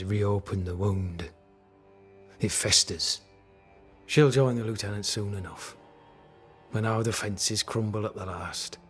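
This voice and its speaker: Casting: fatalistic fort captain, grandiose and bitter. Deep register, very unhurried, doom-laden game drama. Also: male voice. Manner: slow, grim, doom-laden formal speech. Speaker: military officer character in a video game. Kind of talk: dramatic video game acting.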